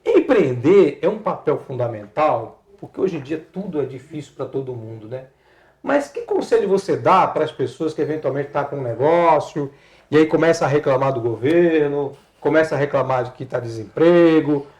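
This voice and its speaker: A man's voice: a little high, animated, moderate pace, darkish tone. A man from Bahia, Brazil, hosting a live interview show in a chatty, colloquial way, speaking straight to viewers. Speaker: TV show host.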